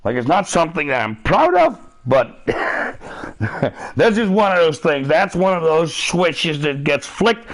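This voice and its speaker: A man's voice: fairly high pitch, sing-song, slow pace, poor audio. A middle-aged man chatting casually while gaming, profane and rambling, laughing often. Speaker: streamer.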